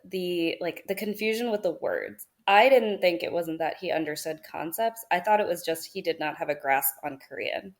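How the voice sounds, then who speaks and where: A woman's voice: lowish voice, moderate pace, few pauses. A woman talking casually on a film podcast, chatty and full of fillers. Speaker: podcast guest.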